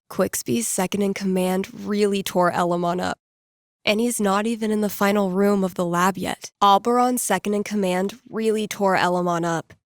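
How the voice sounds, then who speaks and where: A woman's voice: mid-range voice, no echo, studio quality, natural voice. An actress playing a wry, slangy young woman who DJs and runs tabletop games, in a video game. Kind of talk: video game character inner monologue.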